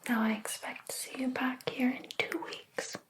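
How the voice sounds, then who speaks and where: A woman playing a possessive, jealous hairdresser in a whispered ASMR roleplay, speaking softly in a slow, deliberate, controlling manner. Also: sits high, level tone, close to an everyday voice. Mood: stern, controlling. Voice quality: soft, breathy whisper.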